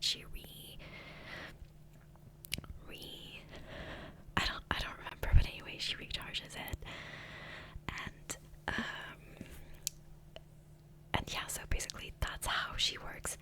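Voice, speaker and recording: A woman's voice: a little slow, long pauses, pushed and bright. A woman whispering breathily in a casual, rambling ASMR style, close to the microphone. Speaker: ASMR gaming video creator. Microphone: close USB condenser microphone.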